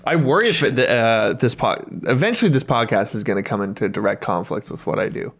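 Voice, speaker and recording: A man's voice: mid-range voice, lively intonation, brisk, vocal fry. A man co-hosting a podcast in casual comedic chat, slangy and profane. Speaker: podcast co-host.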